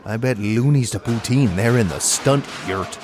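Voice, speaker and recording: An actor playing a chatty, easygoing film crew worker in a comedic audio drama. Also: male voice, medium pitch, normal speed, a little mellow, a little hiss or hum, close to an everyday voice. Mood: matter-of-fact.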